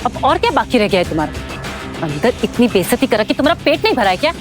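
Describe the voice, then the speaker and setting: A woman's voice: on the high side, big pitch swings, quick pace, over music. An actress playing a scornful middle-aged mother-in-law in an audio drama, irritated and complaining. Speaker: voice actor.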